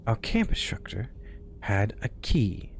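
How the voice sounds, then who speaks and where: A man hosting a spooky story show, reading tales aloud in a hushed voice that often drops to a whisper. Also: fairly low pitch, big pitch swings, slow, gravelly, a little hiss or hum. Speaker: storyteller host.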